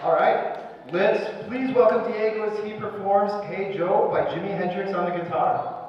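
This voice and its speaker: A man's voice: sits high, fairly even intonation, moderate pace. A man emceeing a school pageant, announcing to a live audience in an upbeat, playful showman manner. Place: large echoey school auditorium. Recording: far-off echoey hall, muffled, noisy.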